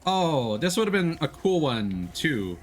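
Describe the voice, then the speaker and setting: Animated man live-streaming a video game. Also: a little high, unhurried, a little hiss or hum. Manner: playful, freely swearing. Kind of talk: casual live game commentary, chatting with viewers.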